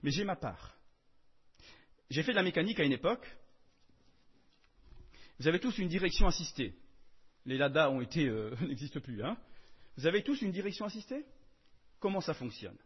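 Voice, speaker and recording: Emphatic French preacher, lively high voice. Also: male voice, moderate pace, pauses often, husky, lo-fi sound. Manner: emphatic, swings between loud and soft. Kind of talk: sermon.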